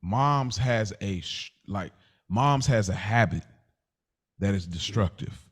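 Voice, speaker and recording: Male co-host, slightly low voice, conversational debater who feels his way through each point haltingly. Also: animated, slightly rough. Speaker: podcast co-host.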